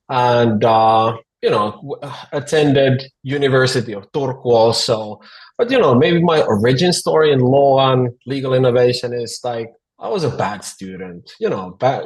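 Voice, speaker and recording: A man in his forties with a Finnish accent talks casually as a guest over a video call. His voice sits at an ordinary middle pitch, and he speaks haltingly, full of fillers and restarts, laughing easily. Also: rough recording. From Finland. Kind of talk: casual interview talk.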